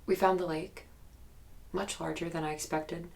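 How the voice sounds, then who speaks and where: Young woman telling her haunted past for a docu style audio drama, deep gravelly creak, guarded n halting. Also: flat delivery. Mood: subdued, haunted. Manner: hesitant, reflective storytelling with pauses. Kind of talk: naturalistic documentary-style audio drama interview.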